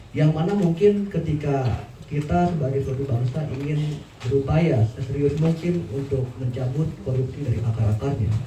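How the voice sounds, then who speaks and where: A man's voice: mid-range voice, dark tone. A man moderating a talk, summing up in emphatic, rhetorical phrases with some English mixed in. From Indonesia. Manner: emphatic repetition, switches into English. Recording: echoey room, distant mic, faint noise.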